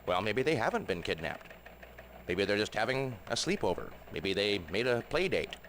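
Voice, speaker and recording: An actor playing a smug rooster expert on a video game news show, glib and condescending. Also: male voice, lowish voice, big pitch swings, normal speed, a bit bright, a little hiss or hum. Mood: glib reassurance. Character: male rooster expert pundit, smug and dismissive.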